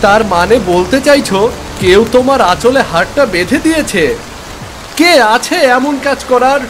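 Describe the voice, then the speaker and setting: A man's voice: extremely high pitch, lively intonation, normal speed. A man voicing a stern, accusing younger brother in a cartoon moral story, scolding and giving orders. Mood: accusing, angry. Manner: scolding, commanding. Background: music.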